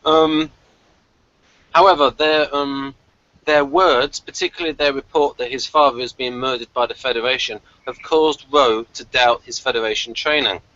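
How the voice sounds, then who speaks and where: A man with a bright, forward voice hosts a casual review show. He moves between synopsis and loose chat, stopping to correct himself. The audio is a bit dull, like an online call. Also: mid-range voice, fairly even intonation, normal speed. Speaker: podcast host.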